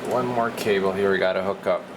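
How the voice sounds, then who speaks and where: A man's voice: sits low, fairly even intonation, fast, heavy background noise. A man working as a radio repair technician, casually demonstrating equipment in his garage workshop and rambling as he works. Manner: rambling, hands-on, stops mid-sentence while working.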